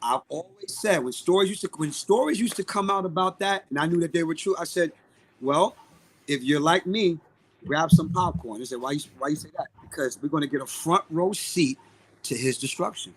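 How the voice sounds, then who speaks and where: A man with a Brooklyn accent talks in a podcast interview. His voice sits a bit on the high side. He is outspoken and emphatic, hammering points home by repeating phrases. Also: fairly quick, slight background noise, rough recording. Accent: Brooklyn, New York. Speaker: guest, singer and music producer. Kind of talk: live podcast interview talk.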